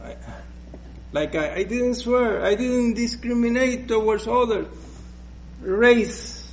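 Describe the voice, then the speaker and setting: Slow, hesitant Puerto Rican preacher. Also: male voice, very high voice, dull audio, slight background noise. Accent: Puerto Rican Spanish. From Puerto Rico. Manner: slow, hesitant, with many restarts. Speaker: guest preacher. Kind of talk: personal testimony in church.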